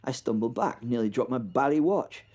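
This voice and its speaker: A man's voice: medium pitch, expressive, darkish tone, slightly rough. Wry British man narrating a horror serial. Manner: wry, halting narration with frequent repeats. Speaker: podcast host and narrator. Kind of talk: first-person horror audio drama narration.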